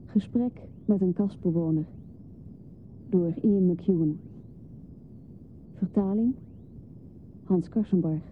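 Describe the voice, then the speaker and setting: Muffled hissy recording, woman radio announcer, medium pitch, slow measured scripted delivery. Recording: muffled lo-fi recording with hiss and rumble.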